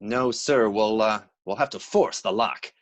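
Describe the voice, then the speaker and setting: An actor playing a folksy, uneasy police underling in an old-time radio drama, colloquial and a little hesitant. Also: male voice, lowish voice, a little slow. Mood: dutiful. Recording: noisy, clicky livestream connection.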